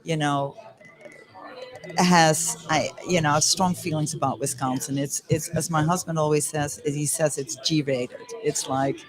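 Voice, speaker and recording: A woman's voice: sits low, fairly even intonation, unhurried, music in the background, low-quality recording. A woman in her fifties from the Netherlands, chatting in an interview and saying "you know" often. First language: Dutch. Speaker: guest. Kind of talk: casual interview chat. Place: club bar during a social evening. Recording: loud crowd chatter behind.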